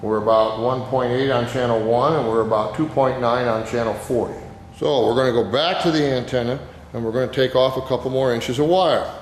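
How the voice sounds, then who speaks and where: A man's voice: mid-range voice, fairly even intonation, fairly quick. Man giving instructions in a very echoey space. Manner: plain-spoken, informal, step-by-step. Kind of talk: hands-on how-to demonstration. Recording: very echoey reverberant room, background noise.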